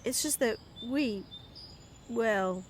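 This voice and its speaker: A woman playing a nervous, fussily polite middle-aged mother in an audio drama, flustered and halting. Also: on the high side, a little hiss or hum. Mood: flustered, wary. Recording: faint outdoor ambience with birdsong.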